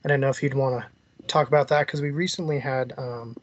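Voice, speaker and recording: A man with a medium-pitched voice hosts an interview. He is casual and a little hesitant, phrasing things loosely as he goes. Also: steady tone, fairly quick, highs cut off, a loud crowd. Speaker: interview host.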